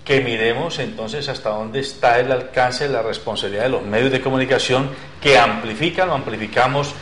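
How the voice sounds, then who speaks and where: A man's voice: mid-range voice, a little fast, a bit bright, roomy sound, slight background noise. A man moderating a televised panel debate, putting probing questions to his guests. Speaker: program director and debate moderator.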